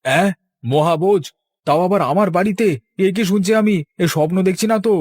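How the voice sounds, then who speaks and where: A man's voice: high voice, moderate pace, a little mellow, no echo. A man voicing a panicky, money-minded village husband in a cartoon, fretful and exclamatory. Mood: panicked. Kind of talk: cartoon voice acting.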